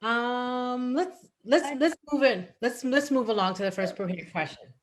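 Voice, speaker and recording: A woman's voice: on the high side, unhurried. Friendly woman moderating a forum. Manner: friendly, filler-heavy, repeats herself.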